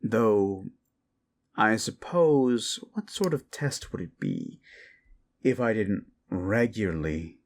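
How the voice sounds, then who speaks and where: Deep, slow, paranoid yandere prince, soft menace and whispers; gravelly, animated delivery. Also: male voice, full character voice. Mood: menacing. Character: unhinged possessive young prince, paranoid. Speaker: voice actor. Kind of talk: audio roleplay drama. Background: faint dark music.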